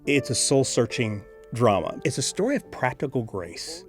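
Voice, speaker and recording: A man talking in an animated, earnest way about a drama in an interview. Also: mid-range voice, normal speed. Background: music.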